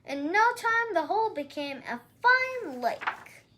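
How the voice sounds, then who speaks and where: A woman reads a children's tale aloud. Her voice is strikingly high, almost childlike, and very lively in its rise and fall. She takes her time over each phrase. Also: takes it slowly, noisy background. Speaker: storybook reader. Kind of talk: expressive read-aloud children's storytelling.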